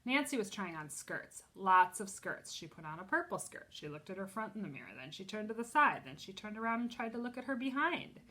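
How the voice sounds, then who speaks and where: A woman teacher reading a children's chapter book aloud, expressively, pausing to explain and ask questions. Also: medium pitch, sing-song, bright, forceful tone. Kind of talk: children's storybook read-aloud.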